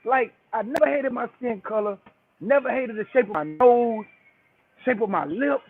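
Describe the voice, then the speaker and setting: A man's voice: very high-pitched, normal speed, husky. A man calling in by phone, talking in a casual, preachy, emphatic way and peppering his speech with "man" and "bro". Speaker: call-in guest. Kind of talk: casual call-in chat. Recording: tinny phone line.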